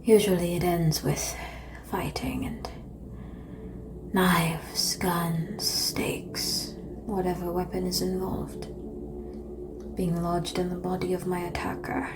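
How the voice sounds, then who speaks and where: A seductive female vampire, acted by a woman, speaks in a breathy whisper for an ASMR roleplay. She draws her words out very slowly, with languid, formal phrasing. Her temper is calm and world-weary, with a teasing edge. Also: fairly low pitch.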